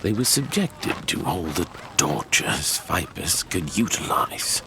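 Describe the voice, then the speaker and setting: A man playing a measured, knowing storyteller of dark fantasy lore, speaking with deliberate dramatic emphasis. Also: low voice, big pitch swings, background noise, heavily acted. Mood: grave storytelling.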